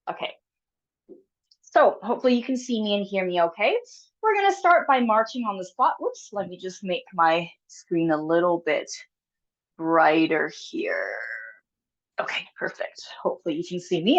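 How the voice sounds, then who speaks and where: Woman w/ a stuffy cold running a zoom workout, upbeat and chatty, kinda dull lo-fi webcam sound. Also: mid-range voice. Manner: friendly, encouraging, chatty with fillers. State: has a cold, sniffly. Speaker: exercise instructor. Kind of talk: live online fitness class instruction. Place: home room, video call.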